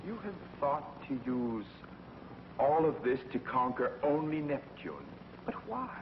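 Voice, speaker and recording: A man playing a scheming, flattering adviser to a villain in a 1950s TV drama. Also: a little high, a little slow, a little hiss or hum. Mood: persuasive. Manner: persuasive, flattering. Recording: dull old TV sound with long reverb.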